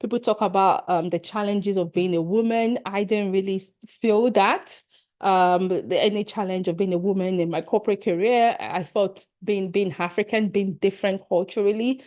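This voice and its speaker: A woman's voice: medium pitch, fairly even intonation, moderate pace, darkish tone, lo-fi sound. Earnest Nigerian-accented woman coach. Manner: earnest and encouraging, addressing attendees directly. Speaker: leadership coach. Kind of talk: online workshop presentation.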